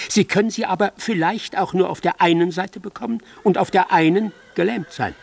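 An actor playing a cold, pedantic, gloating military doctor in a German radio drama, with a clipped clinical manner and a husky voice. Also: male voice, high voice.

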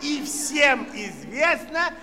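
A man's voice: extremely high pitch, big pitch swings, very slow, exaggerated voice. A man playing a fussy, pompous, indignant circus trainer in a children's audio play, with theatrical delivery. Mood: pompous, correcting. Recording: reverberant circus hall, audience noise behind.

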